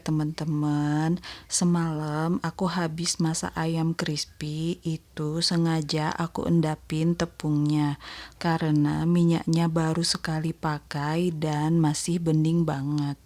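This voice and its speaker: Slow, nasal woman in low monotone. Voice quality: nasal, congested. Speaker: homemaker vlogger narrating own daily routine. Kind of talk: casual voice-over vlog, chatting to viewers. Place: voice-over recorded separately at home.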